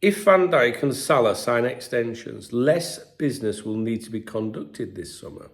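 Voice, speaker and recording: A middle-aged English man with a stuffy, congested voice and a sore throat, chatting casually as a football channel host and often clearing his throat. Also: mid-range voice, expressive, normal speed. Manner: chatty, frequent throat clearing, filler-heavy. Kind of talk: casual commentary to camera.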